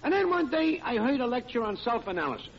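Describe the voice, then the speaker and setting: On a dull old radio recording, a smug working-class American factory man in a comedy. His high voice swoops about as he preaches and needles, puffed up with fancy words. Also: very animated, fast talker, exaggerated voice. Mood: preachy. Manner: pompous, mangles big words. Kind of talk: 1940s radio comedy acting. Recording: noisy, dull vintage radio broadcast.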